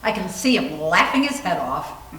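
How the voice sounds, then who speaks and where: A woman's voice: fairly low pitch, big pitch swings, normal speed, fairly bright tone. A woman in a readers theater playing a sardonic, flippant young woman with a wry delivery. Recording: echoey room, distant mic.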